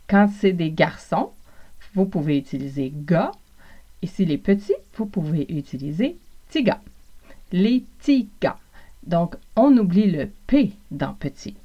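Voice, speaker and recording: A woman from Quebec's Montreal South Shore with a Québécois accent, hosting a language lesson podcast and clearly repeating phrases for practice. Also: fairly low pitch, warm tone, lo-fi sound. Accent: Québécois French. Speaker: podcast host and French teacher.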